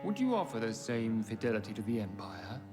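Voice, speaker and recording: An actor playing a haughty, centuries-old aristocratic vampire lord in a video game, with a British accent and a pompous, measured, condescending delivery. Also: male voice, on the low side, sing-song. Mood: persuasive. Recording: orchestral music behind.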